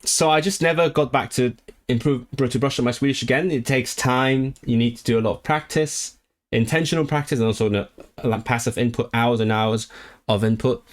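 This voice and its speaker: A man's voice: medium pitch, fairly even intonation, a little fast. Rambling man chatting on a livestream. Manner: rambling, many restarts and fillers; enthusiastic about languages. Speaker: language livestreamer. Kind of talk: casual livestream chat, reading viewer comments.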